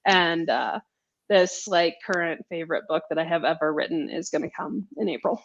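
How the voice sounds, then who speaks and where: A woman author chatting casually in a live interview, telling stories in an animated, giggly way over a video call. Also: low-pitched, moderate pace, muffled recording. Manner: chatty, animated storytelling, laughs often. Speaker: romance author being interviewed. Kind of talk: casual live book chat.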